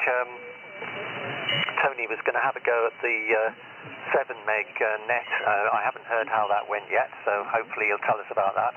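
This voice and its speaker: A man speaking as an amateur radio operator, giving a conversational report over single-sideband shortwave radio. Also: mid-range voice. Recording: muffled, noisy shortwave radio reception.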